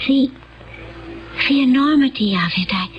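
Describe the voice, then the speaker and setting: An actress playing a serene, remote woman accused of murder in a radio drama, with formal, deliberate phrasing. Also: high-pitched, lo-fi sound, lightly acted. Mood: troubled, distant. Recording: band-limited old radio drama recording.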